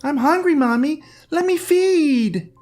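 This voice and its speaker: A man voicing a small hungry vampire girl with a high, sobbing child's voice. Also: animated, slow, darkish tone, soft background music, heavily acted. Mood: eerie hungry.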